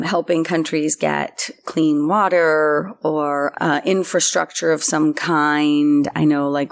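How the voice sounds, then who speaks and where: A woman guest in a casual interview, telling her life story in a chatty way with many fillers. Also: low-pitched. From United States. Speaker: filmmaker guest.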